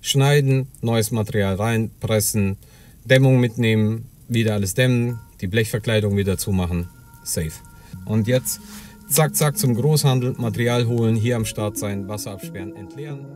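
A man with a mellow, warm tone talks through his working routine in a loose, chatty way, often tossing in a quick "ja?". Faint music runs underneath. His pitch sits in the middle range. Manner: chatty, loose storytelling with filler "ja?" and "ähm". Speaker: plumber and heating installer. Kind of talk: casual vlog narration.

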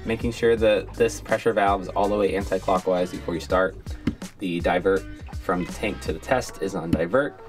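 A man in his thirties giving a relaxed step-by-step how-to explanation inside a small sailboat cabin. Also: sits low, normal speed. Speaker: sailing vlogger. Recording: background music over voice.

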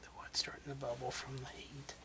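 A man whispering casual ASMR craft chat in a hushed, unhurried way as he demonstrates his work. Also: mid-range voice, completely flat delivery. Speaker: crafter demonstrating wood burning. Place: indoors, carpeted room at home. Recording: noisy whispered recording.

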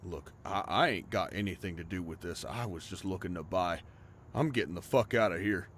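A man's voice: sits low, a little fast, fairly bright tone, a little hiss or hum. A man playing a crude young bully in an audio drama, mocking and swearing. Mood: defensive. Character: sneering, crude young male bully sidekick. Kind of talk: audio roleplay acting.

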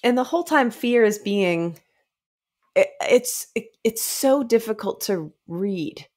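A woman's voice: on the high side, animated, slow, a little mellow. A woman in her thirties chatting informally as a podcast co-host, talking through a story and voicing its characters, on a close microphone. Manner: informal, acting out characters' lines. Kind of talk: casual discussion of a novel. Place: small indoor room, remote video call. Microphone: close studio microphone.

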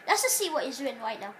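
A woman hosting a gaming channel, giving casual rambling commentary on gameplay while sick, sounding nasal and stopping to cough, recorded in a dark room on a phone aimed at a TV. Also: high voice, slight background noise, low-quality recording.

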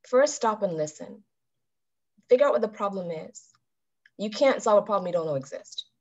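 A woman in her thirties giving a calm, measured talk to a club over a video call and putting questions to her listeners. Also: medium pitch. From Bahamas. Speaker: club speaker. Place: indoors with a virtual background. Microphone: webcam on a video call. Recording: dull video-call audio.

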